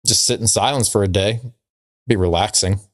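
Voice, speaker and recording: Male podcast co-host, lower register, wry and easygoing, casual joke-telling chat. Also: moderate pace, a bit bright.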